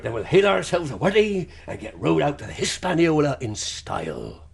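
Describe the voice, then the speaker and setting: Sly, swooping seadog. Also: male voice, fairly high pitch, wildly swooping pitch, moderate pace, vocal fry, exaggerated voice. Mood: jovial. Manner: folksy old seadog talk, swooping between mutters and bellows. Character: sly, hearty one-legged sailor and ship's cook. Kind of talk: radio drama acting.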